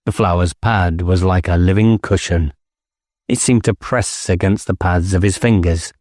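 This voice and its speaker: A man narrating a literary audiobook with measured, dramatic storytelling. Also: deep voice, a little mellow, dry recording, studio quality.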